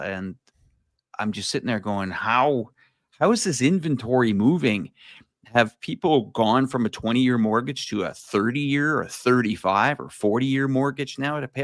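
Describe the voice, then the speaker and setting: A man of ordinary pitch co-hosts a casual livestream chat. He rambles, leans on fillers and restarts, and laughs easily. The sound is dry and close. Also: a bit bright, no room sound. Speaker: co-host.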